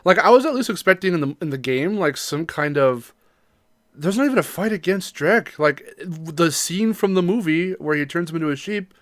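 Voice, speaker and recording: A man chats as a podcast co-host in an informal, keen style. His voice sits a little high for a man, and the sound is dry, with very little room to it. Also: lively intonation, moderate pace, a bit bright, no echo. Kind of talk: casual chat about games and movies.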